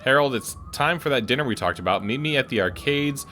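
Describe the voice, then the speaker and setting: A man's voice: medium pitch, animated, fairly quick, bright, forceful tone, faint music behind. A man playing a game on camera, thinking aloud and making wry jokes as he goes. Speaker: gaming YouTuber. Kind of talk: let's play commentary.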